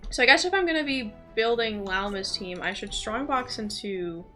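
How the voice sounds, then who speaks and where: Bright-voiced woman live-streaming a game, music behind. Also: a little high, lively intonation, normal speed. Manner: rambling, thinking aloud, self-talk. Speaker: livestreamer playing a role-playing game. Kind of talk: casual live gaming stream commentary.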